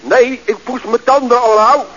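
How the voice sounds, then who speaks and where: An actor playing a lazy, cheeky, slow-witted young nephew who has just woken up, in a radio comedy. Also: male voice, very high voice, fairly even intonation, a bit bright. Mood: startled, cheeky. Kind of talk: 1970s Dutch radio comedy serial acting. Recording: dull, noisy vintage radio recording.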